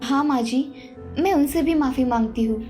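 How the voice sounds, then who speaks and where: Woman acting a high-voiced brash young wife. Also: normal speed, over music, natural voice. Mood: apologetic. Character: brash, short-tempered young wife, later remorseful. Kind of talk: animated moral story dialogue.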